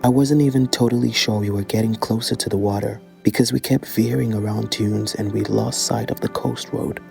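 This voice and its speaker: A man's voice: on the low side, steady tone, brisk, creaky, a little mellow, soft background music. An actor playing an anxious young man who recounts a night lost outdoors in an informal, hesitant way, for a horror audio drama. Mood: uneasy recounting. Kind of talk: first-person horror audio drama monologue.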